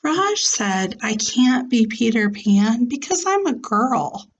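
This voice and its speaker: A woman's voice: fairly high pitch, big pitch swings, slow, exaggerated voice. A woman voicing an eager, imaginative little schoolgirl from a storybook, in an earnest, childlike manner. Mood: hurt.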